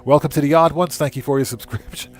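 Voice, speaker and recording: A man's voice: on the high side, steady tone, fast. A man hosting a livestream, chatting informally and thinking aloud as he plays a game and reads aloud from the screen. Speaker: live streamer and channel host. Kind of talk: casual livestream game commentary, reading chat and on-screen text aloud.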